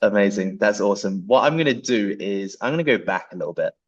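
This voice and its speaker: Chatty low-voiced man. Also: animated, quick pace, husky, highs cut off. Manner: loose and chatty, swears, stumbles over restarts. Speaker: podcast host. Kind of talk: casual interview.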